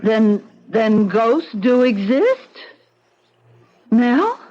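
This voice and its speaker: A frightened pleading wife. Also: female voice, fairly high pitch, big pitch swings, a little mellow, heavily acted. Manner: anxious, pleading, slow. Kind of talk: 1970s radio drama acting. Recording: dull, faintly hissy old radio broadcast.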